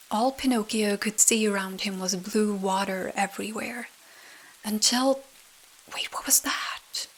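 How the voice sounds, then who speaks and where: A woman narrating a bedtime story in soft, breathy, soothing ASMR style. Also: medium pitch, low-quality recording. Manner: slow, soothing. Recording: rain sounds behind the voice. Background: rain.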